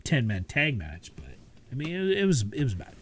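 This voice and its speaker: A man co-hosting a podcast, talking in a relaxed, rambling way with lots of fillers and restarts. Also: mid-range voice, normal speed, husky. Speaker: podcast co-host. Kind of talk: casual chat.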